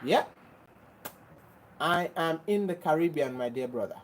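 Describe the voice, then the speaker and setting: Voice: medium pitch, expressive, a little slow, a little hiss or hum, low-quality recording. A livestream host from Grenada, talking earnestly to viewers. Often repeats and restarts phrases and speaks in an insistent, preachy way. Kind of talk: casual livestream chat answering viewers.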